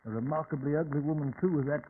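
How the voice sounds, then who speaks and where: An actor playing an excitable, easily baffled British gentleman sidekick in an old-time radio drama, blustering and exclaiming. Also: fairly high pitch, fast, natural voice. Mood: puzzled, astonished. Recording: tinny, band-limited old radio recording with hiss.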